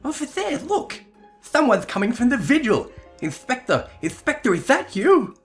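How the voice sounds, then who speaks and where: A man voicing an excitable, earnest young lady investigator from a video game, eager and exclamatory. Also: moderate pace, soft background music, exaggerated voice. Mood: excited. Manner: eager, high-pitched exclamations. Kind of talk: melodramatic video game dialogue reading.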